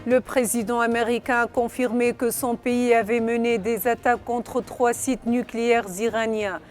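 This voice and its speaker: A woman narrating a scripted news report in a formal, measured delivery. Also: a little high, little pitch movement. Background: background music.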